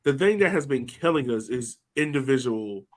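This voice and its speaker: A man's voice: mid-range voice, expressive, moderate pace. A man co-hosting a casual sports podcast, talking loosely with many fillers. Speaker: podcast co-host.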